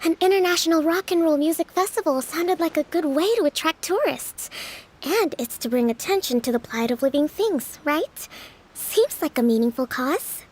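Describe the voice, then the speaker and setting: An actress voicing a gentle, gracious young female leader in a video game, polite and measured. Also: high voice, lively intonation. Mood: pleased, gracious.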